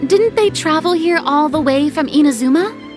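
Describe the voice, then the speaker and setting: A woman's voice: sits high, expressive, moderate pace, over music. A woman playing a theatrical, proud and playful young celebrity in a video game, with a pompous, dramatic manner. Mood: incredulous, wry.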